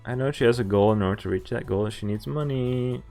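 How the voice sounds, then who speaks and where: A man's voice: medium pitch, fairly quick, warm tone, soft background music. A man streaming a visual novel, casually reading game text aloud and adding informal, jokey commentary. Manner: informal, jokey asides between read lines.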